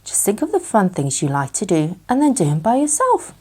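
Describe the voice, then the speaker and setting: A woman reading a bedtime storybook as a kind young boy, gentle and reassuring. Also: mid-range voice, huge pitch swings, a little fast, slightly rough.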